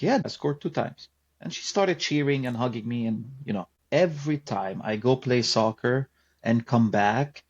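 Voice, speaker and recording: A middle-aged man from Lebanon, reflectively telling stories as a podcast guest. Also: on the low side. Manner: reflective, unhurried storyteller. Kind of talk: casual interview storytelling. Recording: slightly dull audio.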